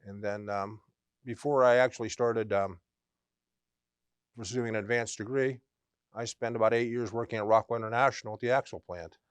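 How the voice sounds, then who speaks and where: A man, speaking in a dry studio. He answers earnestly, often pausing and restarting his sentences. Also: fairly low pitch, fairly even intonation, clean tone, no echo. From Western Pennsylvania. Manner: earnest, with frequent ums and restarts. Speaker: MBA program director, guest. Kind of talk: conversational interview answers. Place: college TV studio.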